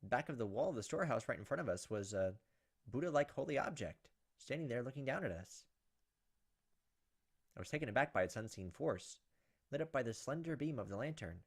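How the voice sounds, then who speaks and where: Fast-talking man reading a visual novel aloud. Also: medium pitch, lively intonation, breathy edge. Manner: dramatic storytelling narration broken by casual asides. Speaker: gaming video host. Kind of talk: let's play, reading a visual novel aloud with off-the-cuff reactions.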